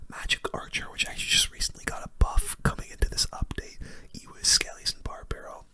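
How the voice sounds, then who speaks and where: A young man whispering relaxed, informal ASMR commentary over a game, close to the microphone. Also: fairly quick. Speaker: gaming video creator.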